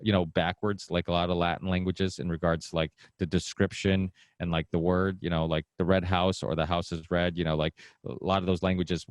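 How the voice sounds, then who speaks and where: A man's voice: low-pitched, level tone, brisk, hardly any pauses, no echo. A middle-aged man who grew up speaking Portuguese, talking as a podcast guest in a meandering, casual way that often restarts sentences. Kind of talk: casual conversation.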